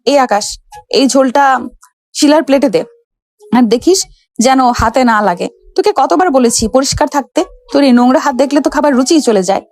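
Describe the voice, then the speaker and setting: A woman's voice: sits high, brisk, darkish tone, no room sound. A woman playing a haughty, hot-tempered young wife who bosses her servant husband in a romantic audio story. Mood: contemptuous. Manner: scolding, bossy, dramatic.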